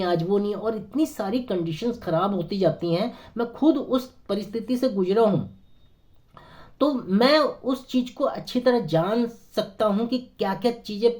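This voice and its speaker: Hoarse guy w a sore throat pitching network marketing, earnest, lots of ums, kinda mellow dark voice. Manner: earnest, persuasive, many hesitant fillers. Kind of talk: persuasive motivational talk to camera.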